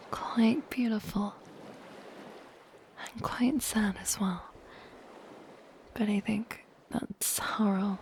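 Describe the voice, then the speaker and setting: Woman acting a slow, whispering, crackly-voiced siren. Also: mid-range voice. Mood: wistful, admiring. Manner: whispery, breathy, slow, gently lilting. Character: lonely, curious female siren, softly menacing man-eater. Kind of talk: soft-spoken ASMR roleplay.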